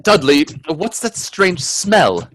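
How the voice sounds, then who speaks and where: A man playing an earnest, uneasy gentleman adventurer in a radio play, telling his tale with theatrical, hesitant suspense. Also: high voice, very animated, slow, no echo, heavily acted. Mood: nervous, alarmed. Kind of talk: dramatic radio play narration and dialogue.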